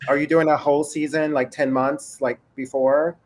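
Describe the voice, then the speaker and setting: A man's voice: a little high, little pitch movement, normal speed, low-quality recording. A man hosting an online panel, asking his guests questions in short, friendly prompts. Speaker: host.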